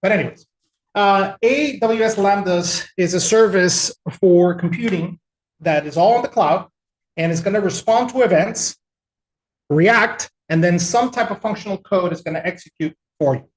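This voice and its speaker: A man from El Salvador giving a relaxed, conversational technical webinar with frequent fillers. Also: sits high, moderate pace, reverberant, a little hiss or hum, poor audio. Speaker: webinar presenter.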